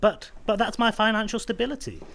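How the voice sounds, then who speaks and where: A man's voice: sits high, expressive, fairly quick, creaky, a bit bright, slight background noise, full character voice. An actor playing a hapless, hopeful salesman stowaway in a sci-fi radio comedy, hesitant and earnest. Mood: indignant.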